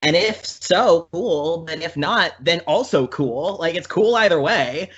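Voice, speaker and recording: A man's voice: on the high side, animated, a little slow, fairly bright tone, husky. A man talking casually as a podcast guest, in an informal, chatty, rambling way. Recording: slightly dull, lo-fi audio.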